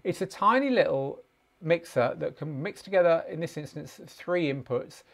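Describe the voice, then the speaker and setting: Gravelly man hosting a casual live Q&A. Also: on the high side, animated, normal speed. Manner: chatty, many "uh" fillers, friendly explaining. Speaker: DJ trainer answering live chat questions. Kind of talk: live-stream Q&A, casual teaching. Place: small home studio with speaker and acoustic panels.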